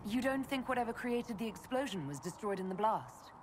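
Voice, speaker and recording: A woman's voice: fairly high pitch, animated, a little fast, lightly acted. A woman voicing a young, curious and protective elven mage in a video game, speaking in a composed, direct, earnest way. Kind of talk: video game dialogue acting. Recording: clear ambient noise behind game dialogue.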